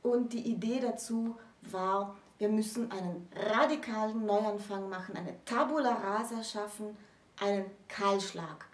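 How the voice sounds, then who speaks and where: A woman's voice: medium pitch, steady tone, measured pace. Woman explaining in a roomy, distant recording. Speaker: presenter of a literature explainer series. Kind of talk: explanatory literary discussion. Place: small indoor room with bookshelves.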